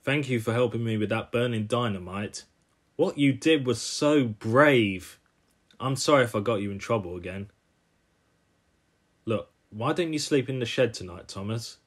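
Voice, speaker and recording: A man voicing a friendly, earnest young male tank engine with a gentle, apologetic manner. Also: mid-range voice, moderate pace, husky, natural voice.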